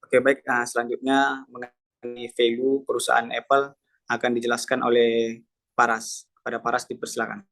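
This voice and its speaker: A young man from Medan moderating a class group presentation. He talks formally, reads from slides and often pauses on "eh". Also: medium pitch, vocal fry. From Medan, Indonesia. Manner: formal, filler-heavy, reads slides in an even voice. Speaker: student moderator.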